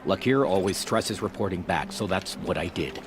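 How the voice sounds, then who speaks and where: A man's voice: low-pitched, expressive, normal speed, over music. A man playing a dutiful, stiff subordinate soldier in a video game, reporting in a clipped military manner. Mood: dutiful, defensive. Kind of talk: video game dialogue acting.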